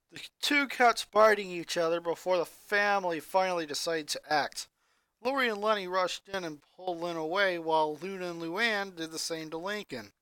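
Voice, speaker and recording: A man's voice: fairly high pitch, pushed and bright, no room sound. A man dramatically reading a fanfic aloud and narrating the action. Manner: dramatic, building intensity. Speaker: fanfic reader.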